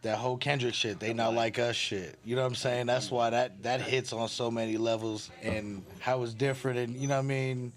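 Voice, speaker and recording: Chatty podcast host, loose and profane. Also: male voice, medium pitch, steady tone, brisk, few pauses. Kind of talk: casual podcast chat.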